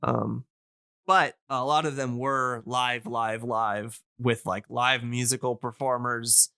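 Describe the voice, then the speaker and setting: A man with a forward, bright voice and a smooth, clean tone, recorded close and dry. He talks as an enthusiastic podcast guest, info-dumping casually and feeling his way through each point in halting runs. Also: mid-range voice, fairly even intonation, no room sound.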